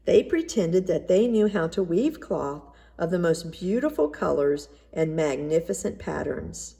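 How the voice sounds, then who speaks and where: A woman reading a children's story aloud in an expressive, measured narrating style. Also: on the low side, gravelly. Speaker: storybook reader.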